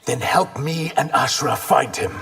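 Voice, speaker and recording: A man's voice: medium pitch, measured pace, very noisy, natural voice. A man playing an earnest, wounded outcast warrior in a fighting game, speaking in a guarded way. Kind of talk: fighting game dialogue acting. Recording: music over the voice.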